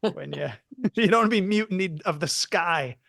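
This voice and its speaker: A man's voice: high voice, animated, moderate pace, vocal fry, sharp tone, dry recording, clean studio sound. A man co-hosting a comedy podcast, telling a rambling story with many restarts and repeated words. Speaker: podcast co-host. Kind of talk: casual comedic chat.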